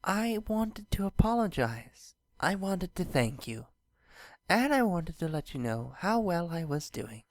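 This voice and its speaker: A gentle young pony princess from a storybook, acted out by a reader, speaking high and soft. She is shy and wistful, with a formal, courtly way of putting things. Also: very animated, unhurried, no echo. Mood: earnest, wistful.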